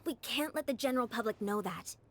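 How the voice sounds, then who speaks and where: Woman acting a high-voiced, worried attendant. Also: animated, fast talker, faint music behind, natural voice. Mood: worried, protesting. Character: anxious, loyal female attendant to a ruler. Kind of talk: dramatic video game voice acting.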